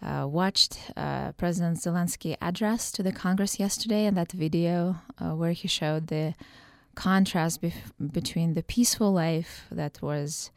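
Soft-toned, hesitant woman professor. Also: on the low side, unhurried, vocal fry, hardly any pauses, no echo, studio quality. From Ukraine. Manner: thoughtful, with many hesitations and fillers. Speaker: political science professor guest. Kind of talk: radio interview answers.

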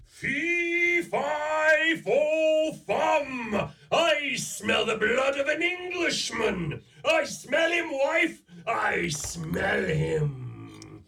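An actor playing a bellowing, menacing old man-eating ogre in a radio play, with a gruff, growling voice. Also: big pitch swings, sharp tone, far from the mic, heavily acted. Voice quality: growling, gravelly. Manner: slow, booming, theatrical roaring. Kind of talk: live radio play acting.

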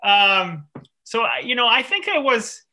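A man's voice: extremely high pitch, very animated, a little slow, pushed and bright. A man from France, an author, talking in a radio interview in a thoughtful way, with many restarts and fillers. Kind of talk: radio interview, reflective conversation.

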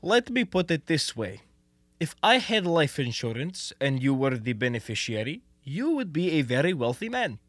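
Man playing high-voiced, formal Soviet defector in a radio comedy. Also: very animated, moderate pace, fairly bright tone, no echo. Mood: resigned. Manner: formal, stilted diction. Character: earnest Soviet defector, courteous, formal baseball team manager. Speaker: student radio actor. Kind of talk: comedic radio play acting.

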